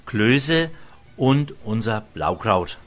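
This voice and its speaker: A man's voice: on the low side, big pitch swings, drawn-out words. A chef from Franconia with a Franconian accent explains his cooking in a homely, rambling way with many hesitations. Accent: Franconian German. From Franconia, Germany. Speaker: restaurant chef. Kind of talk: cooking demonstration chat.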